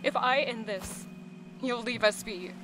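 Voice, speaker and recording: An actress playing a proud, resolute goddess in an audio drama, measured and commanding, scornful of mere humans. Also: female voice, fairly high pitch, close to an everyday voice, rough recording.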